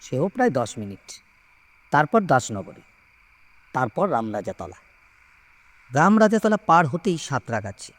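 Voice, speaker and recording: A man reading a story aloud in a measured, expressive, suspenseful way with dramatic pauses. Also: mid-range voice, no echo. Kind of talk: dramatic scripted story narration.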